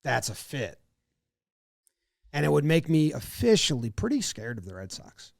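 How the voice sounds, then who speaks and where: Guy cohosting a podcast, kinda high voice, rambles and doubts himself, dry close mic. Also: very animated, moderate pace, creaky, no room sound. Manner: rambling, self-doubting, acts out what he thought. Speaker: podcast co-host. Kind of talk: casual sports chat.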